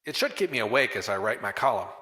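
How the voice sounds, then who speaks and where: A man's voice: mid-range voice, big pitch swings, quick pace, bright, forceful tone. Man playing wry old-time newspaper reporter, echoey room. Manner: hard-boiled, slangy, wry. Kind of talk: old-time radio drama narration. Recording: roomy echo, faint music behind.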